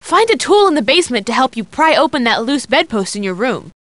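A woman voicing a practical, direct young female sidekick in a video game, brisk and matter-of-fact. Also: high voice, sing-song, fairly bright tone, no echo. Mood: instructive. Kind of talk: video game character dialogue.

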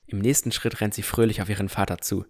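A man's voice: lowish voice, little pitch movement, fast talker. A man narrating a fantasy audio drama in the first person from a script.

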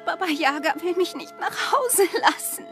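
Woman playing a high-voiced, sad young girl. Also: expressive, normal speed, over music. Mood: sad, pleading. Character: sad young fairy-tale girl longing for home.

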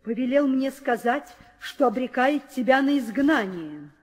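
A scheming, imperious queen with a very high voice. She declaims slowly, every phrase a command. It is an old radio play with hall reverb. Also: lively intonation. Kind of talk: 1960 theatrical audio drama acting.

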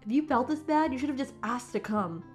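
A woman's voice: high-pitched, animated, a little fast, faint music behind. A woman voicing an eager, loyal teenage best friend in a fan comic dub. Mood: apologetic.

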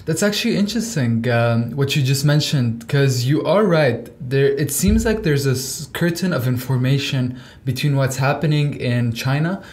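A man's voice: medium pitch, moderate pace, few pauses, darkish tone. A young man from Lebanon hosting a video-call interview, asking long, rambling questions with restarts. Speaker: podcast host. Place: home room with windows.

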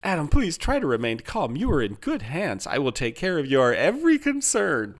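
A man's voice: a little high, wildly swooping pitch, normal speed, pitched above the performer's own voice. A man voicing a gushing, chirpy AI customer-service hologram. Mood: soothing. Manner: gushing, syrupy salesman cheer.

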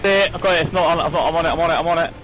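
Sweary British male gamer, fast and slightly high-pitched, agitated co-op banter. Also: fairly even intonation, background noise. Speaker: co-op gaming commentator. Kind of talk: casual co-op let's play chat. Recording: loud game music and machinery behind. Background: video game music and action sounds.

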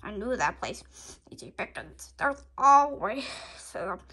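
Female reader as a sly, lower-voiced triceratops crook. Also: big pitch swings, a little slow, sharp tone, slightly rough, heavily acted. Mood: boastful. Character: sly, thrifty male triceratops escape-artist crook.

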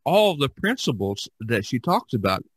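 A man hosting a casual podcast chat, speaking haltingly and often repeating words. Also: medium pitch, very animated, breathy edge, no echo. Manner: halting, with repeated words and frequent "you know". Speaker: podcast host. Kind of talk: casual discussion of art practice.

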